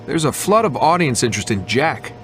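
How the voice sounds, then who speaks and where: An actor playing an obedient, precise aide in a video game, reporting deferentially. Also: male voice, medium pitch, sing-song, brisk, fairly bright tone, a little hiss or hum. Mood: dutiful. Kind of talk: video game cutscene acting.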